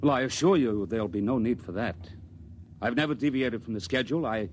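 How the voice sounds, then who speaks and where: A young actor playing a mild, deferential attendant with a quiet questioning streak, in 1950s radio drama style. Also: male voice, medium pitch, brisk, close to an everyday voice. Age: young adult. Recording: faint hiss, 1950s radio transcription.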